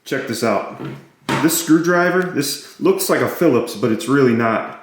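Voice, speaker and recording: A man's voice: mid-range voice, lively intonation, a little slow, faint music behind. A man giving a casual hands-on gadget walkthrough in a plain, matter-of-fact way, listing features as he goes. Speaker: product reviewer. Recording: very echoey, roomy sound.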